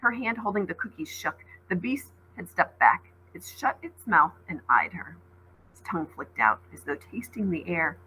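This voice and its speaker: A woman's voice: lowish voice, sing-song, moderate pace, pushed and bright, slight background noise, low-quality recording. A woman teacher reading a story aloud dramatically, with conversational asides to explain it.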